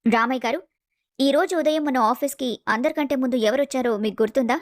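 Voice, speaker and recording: A woman's voice: high voice, no echo, lightly acted. A woman voicing a composed, principled young office worker in a story drama, speaking politely and firmly. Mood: firm, questioning. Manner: polite, measured, firm. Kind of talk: dramatized family story voice acting.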